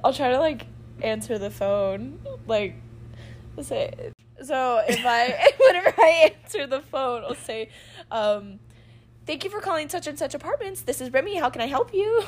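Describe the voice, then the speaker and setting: A woman from Texas with a softened Texan accent, co-hosting a podcast in casual chat, giggling and drawing out words. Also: high voice, lively intonation, normal speed. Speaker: podcast co-host.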